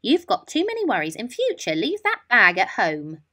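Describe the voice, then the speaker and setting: A woman voicing a cross, scolding schoolteacher in a storybook, stern and brisk. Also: on the high side, wildly swooping pitch, bright, forceful tone, pitched above the performer's own voice, full character voice.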